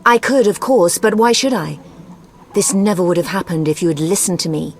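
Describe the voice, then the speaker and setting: Woman as a snooty scheming sorceress, kinda low voice, formal and cutting, game acting. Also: normal speed, slight background noise, close to an everyday voice. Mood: demanding, cold. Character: imperious, scheming sorceress, proud and uncompromising.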